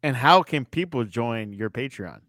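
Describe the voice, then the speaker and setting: A man's voice: medium pitch, animated, unhurried, sharp tone, no room sound, studio quality. A man interviewing a podcaster, asking short questions in a casual, enthusiastic way.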